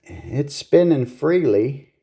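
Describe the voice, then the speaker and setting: Slow-talking man with a mellow low voice. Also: very animated, creaky, breathy edge. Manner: blunt, salty, swears casually. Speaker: repair video host. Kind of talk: casual hands-on repair commentary.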